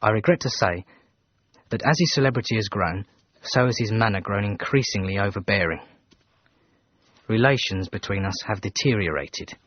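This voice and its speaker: Dour manservant, formal, dryly reproachful, husky period-drama delivery, slightly muffled sound. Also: male voice, fairly low pitch, fairly bright tone. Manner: formal, dry, deferential but reproachful. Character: dour, dutiful, disapproving Edwardian manservant. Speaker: actor in a radio play. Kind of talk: BBC radio period drama, letter narration.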